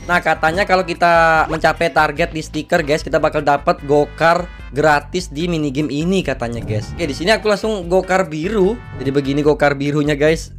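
A young man speaks in a fairly high, slightly hoarse voice. He commentates casually on a game, full of slangy exclamations. Faint music plays behind him. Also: a little fast, fairly bright tone. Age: young adult. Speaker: gaming video creator.

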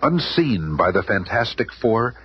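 A man's voice: on the low side, big pitch swings, measured pace, faint music behind. A man narrating a 1970s superhero radio drama in a theatrical, grandiose scripted style. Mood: dramatic. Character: dramatic comic-book story narrator. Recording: noisy dull 1970s radio recording.

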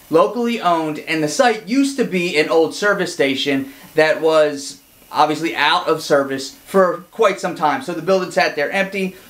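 Upbeat man presenting to camera. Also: a little high. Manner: chatty, animated hand gestures. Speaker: real estate agent and channel host. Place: indoor studio set with brick backdrop.

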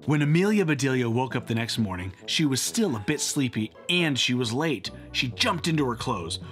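A man in his thirties narrates a children's picture book with very lively, swooping intonation. His delivery is playful and comedic, over faint music. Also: medium pitch, moderate pace. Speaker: storytime host reading aloud.

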